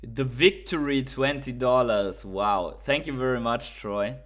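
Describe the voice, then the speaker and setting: A man's voice: mid-range voice, measured pace, a bit bright. A man from Germany with a German accent, hosting a casual crypto livestream, reading viewer comments and thinking aloud with fillers and repeats.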